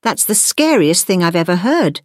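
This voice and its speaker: A woman's voice: sits high, wildly swooping pitch, moderate pace, dry recording, studio quality, voice pushed up. A woman voicing childlike, confused and easily frightened little monsters in a children's story. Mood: horrified.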